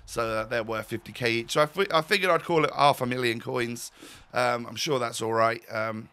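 Guy in his 30s, croaky voice, bright and chatty, rambling game commentary at his desk. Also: medium pitch, moderate pace. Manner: chatty, wry, with fillers and restarts. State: croaky, voice going. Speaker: gaming YouTuber. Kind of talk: casual pack-opening commentary. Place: home room, indoors.

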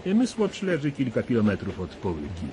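A mid-pitched man's voice as a chatty local storyteller in a radio play. He talks with folksy emphasis over faint background noise. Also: wildly swooping pitch, moderate pace. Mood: boastful, relishing. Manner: talkative, emphatic, colloquial. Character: chatty, folksy local man, proud castle gossip. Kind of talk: 1990s Polish radio drama acting.

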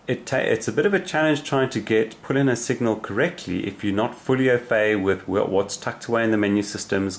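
A man giving a casual, unscripted hands-on demonstration, explaining in a rambling way as presenter. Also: fairly low pitch. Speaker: radio hobbyist presenter. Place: indoor radio desk, off camera. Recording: clear background noise, radio hiss.

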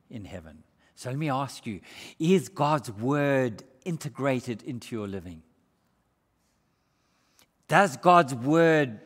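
An older man preaching a sermon in a church, shifting between raised, emphatic passages and hushed, low ones. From Australia. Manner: gestures broadly while preaching. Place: church hall with brick walls. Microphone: far camera. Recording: reverberant church hall.

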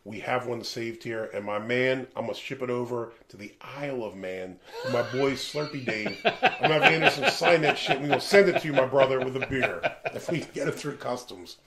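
A man in his forties with a gravelly edge, hosting a beer review from a small room lined with shelves. He talks in loose, animated asides and cracks jokes as he goes. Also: medium pitch, a little fast, a crowd in the background. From American Northeast. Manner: animated, jokey, rambling asides. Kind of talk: casual chat.

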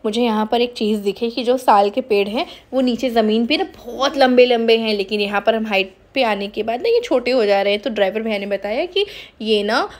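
A woman in her thirties narrating a family trip in a calm, unhurried, explanatory voice-over. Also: fairly high pitch.

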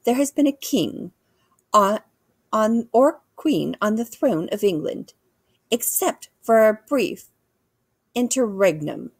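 A woman performs an imperious older English queen for a storybook. The voice sits high and swoops grandly, with a pedantic, formal delivery. It sounds disapproving and very sure of its rank, recorded close and dry. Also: animated, slow pace, long pauses, husky, dry recording, exaggerated voice. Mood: lecturing. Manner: pompous, clipped and formal. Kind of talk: children's storybook character voice.

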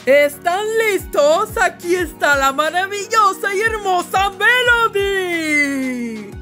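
A woman voicing a sweet, showy young female pop idol in a fan comic dub, with theatrical, drawn-out delivery. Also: very high voice, animated, bright, forceful tone, reverberant, long reverb tail, faint music behind, voice pushed up, heavily acted.

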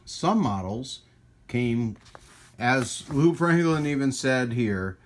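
A man hosting a hobby radio channel in a casual, rambling hands-on demonstration, with folksy trailing asides and a husky voice. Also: medium pitch, lively intonation, slow pace. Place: indoors at a radio workbench.

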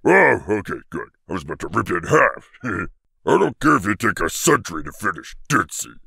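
Cartoon monster turtle king: gravelly, blustering bully, wildly swinging pitch, short fuse. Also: big pitch swings, a little fast, bright, forceful tone, no room sound, studio quality, full character voice. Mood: grumpy, menacing. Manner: blustering, threatening, insulting. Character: huge grumpy, hot-tempered male turtle monster king. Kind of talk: fan cartoon comedy voice acting.

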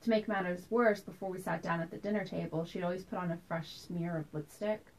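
A woman performing a theatrical monologue as a wistful, anxious woman recalling tense family dinners from her childhood, told deliberately with dramatic pauses. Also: on the low side, steady tone, a little mellow, lightly acted, rough recording. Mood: wistful, uneasy.

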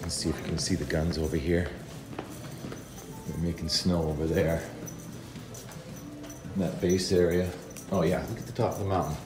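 Deep-voiced New man vlogging outdoors. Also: steady tone, moderate pace, pauses often, over music. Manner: easygoing, chatty, talks while walking the dog. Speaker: weather forecaster and vlogger. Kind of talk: casual walking weather vlog. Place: outdoors at a mountain resort at dawn, then a lodge hallway.

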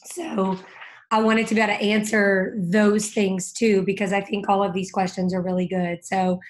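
A woman in her thirties with a slightly hoarse, fading voice talks through a laptop webcam from a home office lined with bookshelves. She chats in an easy, unhurried way, apologizing for her voice as she runs a Q and A. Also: medium pitch, level tone, low-quality recording. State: losing her voice, a bit hoarse. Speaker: cat care vet host. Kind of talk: casual video call Q and A. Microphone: laptop webcam on video call.

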